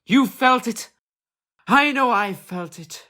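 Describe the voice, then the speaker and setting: A woman's voice: fairly high pitch, lively intonation, takes it slowly, pushed and bright, heavily acted. A woman playing a pompous, melodramatic married man in an amateur comedy murder mystery, with florid theatrical delivery. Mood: lovestruck, grandiose.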